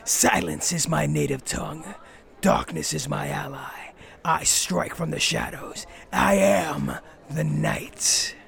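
A grandiose vigilante of the night in a comic fantasy audio drama. His voice is very gravelly and often drops toward a whisper, yet sits a little high for a man. He draws out his portentous words slowly, with total, absurd earnestness. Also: wildly swooping pitch, fairly bright tone, exaggerated voice. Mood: boastful, ominous. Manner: slow, pompous, theatrically portentous. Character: self-styled male dark vigilante, grandiose, melodramatic, absurdly earnest. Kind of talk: comedic fantasy audio drama, brooding monologue.

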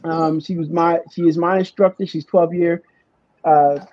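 A podcast host introducing guests in a live online session, speaking haltingly and often restarting phrases. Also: medium pitch, fairly even intonation, darkish tone, no echo, highs cut off.

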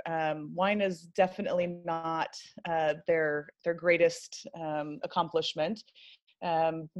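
An American woman in her thirties talks easily as an interview guest, filling her sentences with ums and uhs. Her voice sits low for a woman. The recording is a little dull and lo-fi. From United States. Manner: chatty, many ums and uhs. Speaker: wine industry guest. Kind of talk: casual interview conversation.

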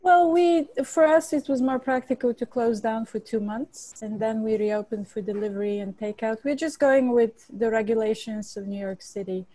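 A woman's voice: a little high, normal speed, few pauses, mellow, no echo, poor audio. A woman from Bulgaria, a chef and cookbook author, answering interview questions earnestly with frequent restarts.

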